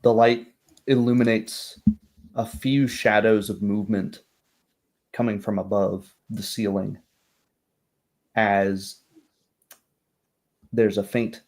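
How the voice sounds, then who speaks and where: A man with a fairly low voice acts as game master of a tabletop roleplay. He narrates in descriptive, literary phrasing, setting out scenes for the players. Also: steady tone, slow pace, pauses often, darkish tone.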